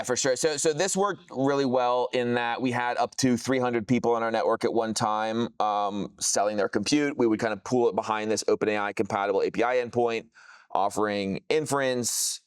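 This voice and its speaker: A man's voice: mid-range voice, steady tone, a little fast, smooth, no echo. A man giving an informal product demo, explaining technical things casually with plenty of jargon. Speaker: software founder presenting a product.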